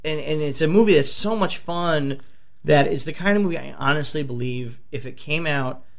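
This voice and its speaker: A man on a podcast, hoarse from a flu, talking excitedly and swearing often as he raves about movies. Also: on the high side, normal speed. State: a few bourbons in. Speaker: podcast host. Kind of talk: casual movie talk.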